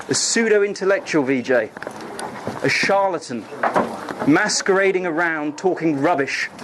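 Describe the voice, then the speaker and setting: A man's voice: sits high, background noise. A man, a student, angrily confronting a lecturer over his grade with accusatory ranting.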